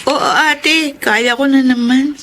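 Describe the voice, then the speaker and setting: Radio drama woman of a poor household: notably high, unhurried, plaintive, dutiful. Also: steady tone, a bit bright, a little hiss or hum. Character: dutiful woman of a struggling, flood-hit family. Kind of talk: Tagalog radio drama acting.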